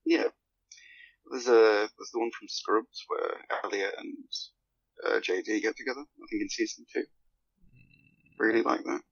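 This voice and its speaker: A man's voice: on the low side, steady tone, moderate pace, creaky, pauses often, husky, dry recording, highs cut off. A man chatting casually as a podcast guest, rambling a little hesitantly.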